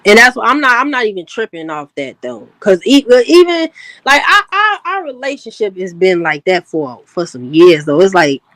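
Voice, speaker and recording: A woman's voice: mid-range voice, sing-song, moderate pace, fairly bright tone, no echo. Laid-back woman in her thirties, slangy. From Chicago, USA. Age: 30s. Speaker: panel guest. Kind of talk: casual panel chat.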